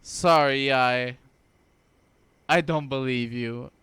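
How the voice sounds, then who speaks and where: A man's voice: mid-range voice, slow, a bit bright, smooth, no room sound. A man giving casual, playful playthrough commentary, talking back to game characters and reading dialogue aloud. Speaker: gamer playing on camera or microphone.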